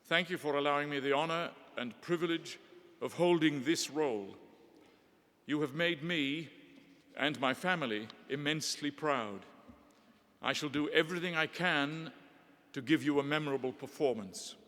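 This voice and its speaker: A middle-aged man from Northeast England giving a measured, eloquent formal address with gentle humor, recorded in a large hall with long reverb. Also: on the high side. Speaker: ceremony speaker.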